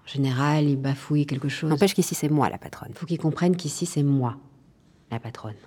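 An actress playing a brash, defiant jazz club owner in a naturalistic drama, talking colloquially with a sardonic edge. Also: female voice, low voice, a little fast, dark tone, breathy edge. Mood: musing, wry. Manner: colloquial, sardonic, musing aloud. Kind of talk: naturalistic drama monologue and dialogue.

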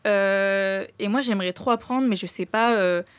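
Expressive young woman, mid-pitched voice. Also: moderate pace, smooth, no room sound. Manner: expressive, informal, slangy French. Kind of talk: casual group discussion.

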